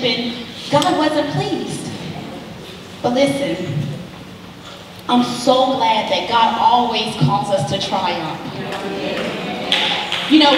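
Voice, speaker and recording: An actress plays an earnest woman counselor in a stage drama, encouraging and preachy. Her voice is on the high side and rings through a very echoey theater, with clear noise in the recording. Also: far from the mic. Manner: preachy, emphatic, motherly reassurance. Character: warm, churchy female counselor, earnest and encouraging. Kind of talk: stage play acting. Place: indoor theater stage living-room set.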